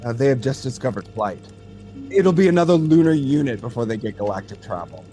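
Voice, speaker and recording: A man plays a seasoned alien technician in an audio drama. He is patient and thoughtful, explaining in a measured way at a middle pitch, with music faintly underneath. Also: lively intonation, warm tone, close to an everyday voice. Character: experienced alien data center technician, male, patient, thoughtful.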